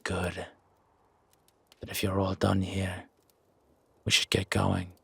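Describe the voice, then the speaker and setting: A man voicing a gruff, wary werewolf who guards his sanctuary, husky and measured, in a roleplay audio drama. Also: low voice, completely flat delivery, fairly bright tone, dry recording, full character voice. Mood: quietly menacing. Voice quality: husky, gravelly rasp. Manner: slow, measured, dry, guarded. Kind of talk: roleplay audio drama, intimate one-sided dialogue.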